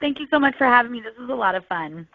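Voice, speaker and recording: A woman's voice: on the high side, animated, fast talker, no echo. A woman novelist chatting casually as a podcast guest over a call. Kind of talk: casual interview chat. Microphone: phone or online call. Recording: tinny phone-like line, dry.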